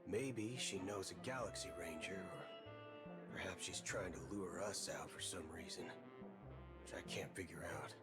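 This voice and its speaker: An actor playing a shrewd, talkative space ranger in a video game, with a folksy, wry, conversational manner. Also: male voice, deep voice, measured pace. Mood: serious, thoughtful. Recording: loud music behind.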